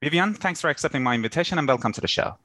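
A man's voice: a little high, animated, racing, bright, forceful tone. A man hosting an interview podcast, asking polite questions with hesitant fillers. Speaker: podcast host.

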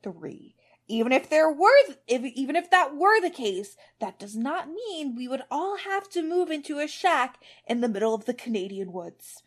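A woman reading the part of a sarcastic, exasperated man in a story who is barely keeping calm, with emphatic delivery. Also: on the high side, normal speed, lightly acted. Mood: strained calm.

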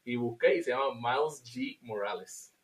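A man with a Puerto Rican accent co-hosting a podcast, reviewing a film in an animated, casual way and slipping into English. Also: mid-range voice, normal speed, rough recording. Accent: Puerto Rican Spanish. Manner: animated, laughs often, mixes in English. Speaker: podcast co-host.

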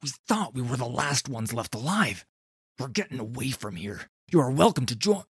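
A man voicing a burly blacksmith who is wary and fierce, in a gruff voice. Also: mid-range voice, very animated, moderate pace, dry recording, studio quality, full character voice. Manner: gruff, hushed then accusing. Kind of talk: audiobook character voice.

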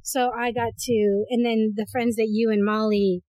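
Animated woman in her forties, host. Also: fairly high pitch, moderate pace. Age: 40s. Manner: animated storyteller, acts out quotes. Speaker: podcast host. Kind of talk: casual chat.

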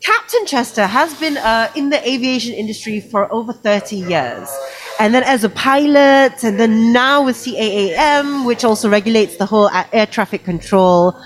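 A woman's voice: on the high side, animated, moderate pace. A woman with a Malaysian accent co-hosting a live breakfast radio show in a chatty, conversational style. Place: air traffic control tower, live broadcast.

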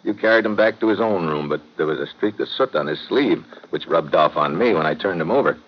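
A tough american detective, low voice, clipped n wry, old radio drama, muffled and noisy. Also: male voice, fairly quick. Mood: cool, confident. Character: tough, wisecracking male American investigator on dangerous assignments. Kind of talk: 1950s radio drama acting, hard-boiled narration.

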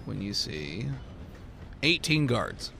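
Male game master, loose improvised tabletop narration, lively intonation, casual and sweary, slight background noise. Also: lowish voice, slow pace, vocal fry.

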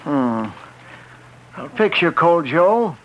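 Frontier gunsmith: fairly high voice, folksy and musing, muffled old radio western. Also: male voice, sing-song, slow pace, full character voice. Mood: folksy, curious. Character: friendly folksy old-West gunsmith, a curious man. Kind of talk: vintage radio western acting. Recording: muffled, slightly noisy vintage radio recording.

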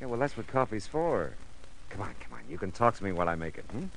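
An actor playing a weary, wisecracking hard-boiled private detective with a wry, drawling manner in a vintage radio noir drama. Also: male voice, fairly low pitch, sing-song, quick pace, gravelly, fairly bright tone, heavily acted. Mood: groggy, wry. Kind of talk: 1950s radio noir acting, narration and dialogue. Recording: noisy old radio recording.

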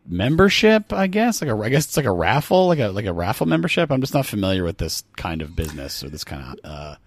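A man's voice: lowish voice, sing-song, quick pace, dry recording. An American man chatting casually as a comedy podcast co-host, riffing with wry, exasperated, hedging commentary. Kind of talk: casual comedic chat, riffing on a news story.

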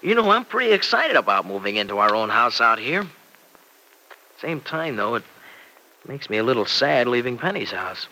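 An actor playing an earnest, excitable young husband and devoted son-in-law in an old radio serial. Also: male voice, mid-range voice, normal speed, slight background noise, low-quality recording. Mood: chatty, earnest. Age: young adult. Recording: vintage radio recording.

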